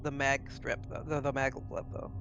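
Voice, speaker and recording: A man's voice: medium pitch, little pitch movement, moderate pace, creaky, lo-fi sound, background noise. A man playing a tabletop game, casually helping the other players with dice and rules.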